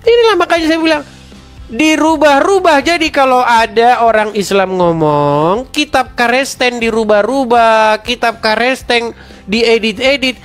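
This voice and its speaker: A man with a slight cold argues and needles his opponent, laughing at him as he goes. He talks slowly and drags out his points. Faint music plays underneath. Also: very high-pitched, fairly bright tone. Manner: mocking, challenging, laughs at opponent. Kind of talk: heated religious debate and commentary.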